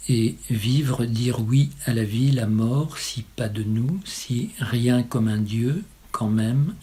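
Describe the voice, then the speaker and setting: A man reciting poetry in a solemn style, with measured, incantatory phrasing and short pauses. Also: medium pitch, a little mellow. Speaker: poet reading own poem.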